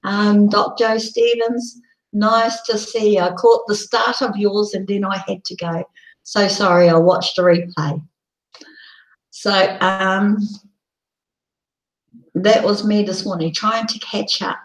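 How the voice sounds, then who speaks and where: A woman with a croaky, hoarse voice, hosting a casual live broadcast and rambling with many ums. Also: mid-range voice, fairly even intonation, slow, roomy sound, low-quality recording. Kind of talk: casual live-stream chat.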